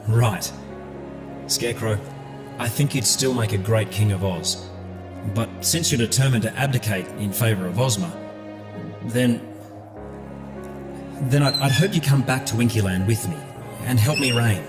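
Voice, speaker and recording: An actor playing a loyal, reassuring emperor and old friend in a fantasy audio drama, earnest and insistent. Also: male voice, on the low side, unhurried, long pauses, over music, natural voice. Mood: sincere, friendly.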